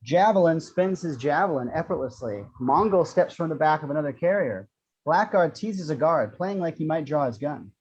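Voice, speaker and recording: Male screenplay narrator, wry, mellow tone, slightly muffled lo-fi sound. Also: fairly high pitch, lively intonation, moderate pace, breathy edge. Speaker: action-description reader.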